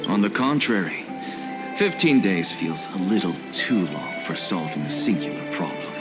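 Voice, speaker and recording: A haughty, low-voiced scholar lord. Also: male voice, big pitch swings, normal speed, over loud music. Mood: cool disdain. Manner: eloquent, arrogant, formal, theatrical. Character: haughty, defiant scholar lord, coolly self-assured. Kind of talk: dramatic fantasy video game acting.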